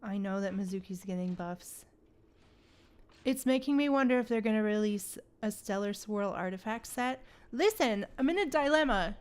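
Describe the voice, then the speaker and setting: A woman's voice: a little high, smooth. A woman hosting a casual gaming livestream, chatting with viewers and thinking aloud in a playful, conversational way. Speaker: livestream host.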